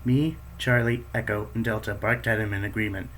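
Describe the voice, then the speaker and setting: A man narrating in the first person as a protective female raptor, the beta of her pack, in a steady storytelling way. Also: fairly low pitch, a little fast, a little hiss or hum, natural voice. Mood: calm.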